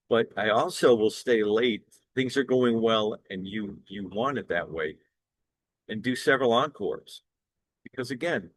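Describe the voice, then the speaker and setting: Older guy from cleveland, bass baritone, upbeat earnest sales pitch, dry close mic. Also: fairly bright tone, dry recording, poor audio. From Cleveland, Ohio, USA. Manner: upbeat, earnest salesman talk with small restarts. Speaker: wedding and event singer. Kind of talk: promotional direct-to-camera pitch.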